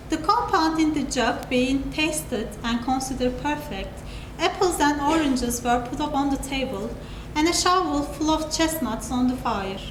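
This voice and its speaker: High-pitched woman narrating in an echoey room. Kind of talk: dramatic scripted narration of a classic story. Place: indoor live reading event. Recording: echoey room, noisy live recording.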